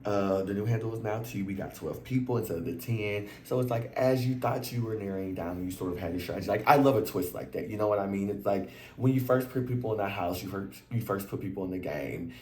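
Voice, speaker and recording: Recap host, male, deep voice, a bit worn out, chatty and animated, echoey room. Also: fast talker, hardly any pauses, a little hiss or hum. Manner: animated and chatty, slangy, leaps into high exclamations. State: voice a little worn. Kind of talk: casual chat to camera.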